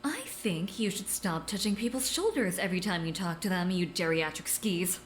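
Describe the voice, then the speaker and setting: A woman's voice: lowish voice, expressive, normal speed, slight background noise, close to an everyday voice. A woman playing a blunt, scornful doctor who trades dry, cutting sarcasm. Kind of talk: audio drama acting.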